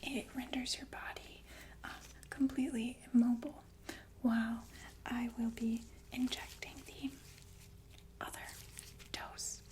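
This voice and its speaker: A woman playing a softly menacing, falsely soothing killer nurse in a whispered ASMR roleplay, halting and casually polite. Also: on the high side, little pitch movement, lightly acted. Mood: calmly menacing. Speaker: ASMR performer.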